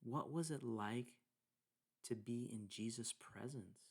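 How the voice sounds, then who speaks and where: A man leading a guided meditation, speaking deliberately in short phrases with pauses between them. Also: mid-range voice, slightly rough. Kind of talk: guided meditation narration with scripture reading.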